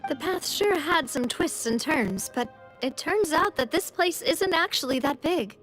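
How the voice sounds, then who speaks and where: Earnest, high-voiced young woman investigator. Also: animated, unhurried, a bit bright, soft background music, low-quality recording. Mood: curious. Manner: earnest, polite, inquisitive. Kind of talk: video game voice acting.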